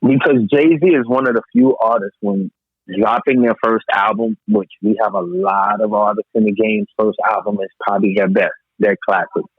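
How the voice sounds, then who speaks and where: A man's voice: on the low side, fairly even intonation, normal speed, slightly rough, no room sound. A man in casual, opinionated music discussion on a podcast, speaking emphatically and repeating phrases to drive points home. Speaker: podcast co-host. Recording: thin phone-like line.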